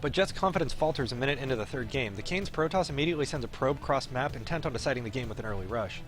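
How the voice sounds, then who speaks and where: A man's voice: mid-range voice, expressive, very fast. A man reading a visual novel aloud on a stream, in dramatic narration with an esports commentary flavour. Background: faint game music.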